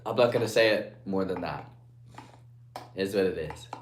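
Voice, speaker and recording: A young man from Guatemala on a casual live stream, reading comments aloud and answering in a laid-back way, often trailing off quietly, through a phone propped on a ledge. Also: low-pitched, normal speed, slight background noise. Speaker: livestreamer.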